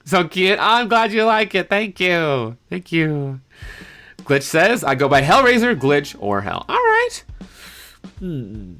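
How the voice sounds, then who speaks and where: A man chatting casually on a live stream in an animated, hesitant way, reading out and answering viewer comments. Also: high-pitched, slow pace. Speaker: livestreamer and amateur voice actor. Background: piano background music.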